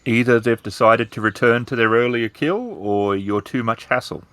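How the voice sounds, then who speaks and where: A man's voice: mid-range voice, little pitch movement, moderate pace, a bit bright, clean tone. A man narrating a tabletop roleplaying game as its game master.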